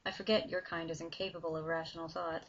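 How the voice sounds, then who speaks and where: A woman voicing a cold, contemptuous male demon who speaks low, quiet and formally in a dull lo-fi recording. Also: flat delivery, fast, a little hiss or hum.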